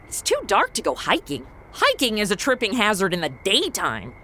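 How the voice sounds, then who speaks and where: A woman playing a sarcastic, excitable young amateur sleuth in a comedy audio drama, with a flippant, exclamatory delivery. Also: medium pitch, normal speed, a little hiss or hum.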